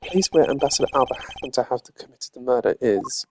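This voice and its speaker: A man voicing a composed, analytical prosecutor in a video game, speaking in a deliberate, formal manner. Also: medium pitch, animated, darkish tone, a little hiss or hum, lightly acted. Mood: measured. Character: composed, analytical male prosecutor investigating a murder. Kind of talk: voiced video game dialogue read live. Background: game music.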